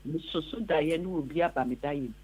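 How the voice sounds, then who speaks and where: A man, calling into a radio show and asking his question in a halting way. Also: on the high side, darkish tone, husky. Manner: hesitant, halting. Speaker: radio caller. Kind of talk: phone-in discussion. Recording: tinny phone line.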